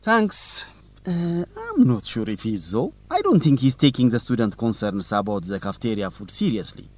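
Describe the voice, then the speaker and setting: A lively, teasing young reporter. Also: male voice, medium pitch, normal speed. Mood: skeptical. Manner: teasing, incredulous, determined. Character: teasing, suspicious young male student newsletter reporter. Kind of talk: educational radio drama acting.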